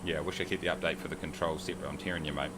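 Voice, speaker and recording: A low-voiced man talks loosely and jokes through a livestream, reading viewers' comments out as he goes. A steady hum runs under his home mic. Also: rapid-fire. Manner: chatty and joking, reads viewer comments aloud. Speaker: streamer. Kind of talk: casual livestream chat while flying a sim.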